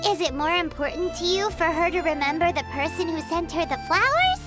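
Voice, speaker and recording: Tiny childlike fairy sidekick, squeaky high, swooping intonation, blunt, bossy, cute anime game style, playful music behind. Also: female voice, big pitch swings. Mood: helpful. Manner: cute, emphatic, drawn-out exclamations. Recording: studio voice over game music. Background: playful tinkling music.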